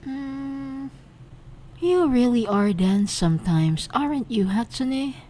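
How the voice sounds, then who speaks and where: Woman fanfic narrator, gentle and expressive, unhurried with a melodic, varied pitch. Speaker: fanfic reader. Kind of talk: storybook narration.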